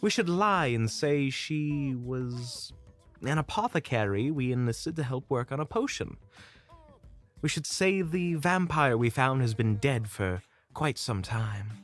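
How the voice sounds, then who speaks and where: A man acts out an earnest, cautious hunter who plans things out in an audio drama. He speaks slowly and formally, with very expressive pitch, over faint music. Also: close to an everyday voice. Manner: measured, formal, deliberate. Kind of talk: audio roleplay acting.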